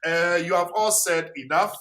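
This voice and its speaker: A man moderating a panel discussion, lively and slipping in Twi phrases. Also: sits high, normal speed, a little hiss or hum. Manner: lively, mixes in Twi phrases, animated quoting. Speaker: host.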